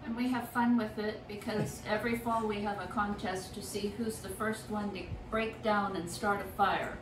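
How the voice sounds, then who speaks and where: A woman's voice: medium pitch, steady tone, moderate pace. A woman in her sixties chatting candidly during a tour of her tiny wooden home, recorded by a camera several feet away. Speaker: co-host, homeowner. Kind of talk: casual house tour talk. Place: small wood-paneled tiny house indoors. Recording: slightly distant with faint hiss.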